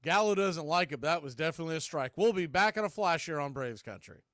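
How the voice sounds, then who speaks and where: A man's voice: fairly high pitch, expressive, fast talker, a bit bright, dry recording, studio quality. A man announcing baseball in a play-by-play commentary style.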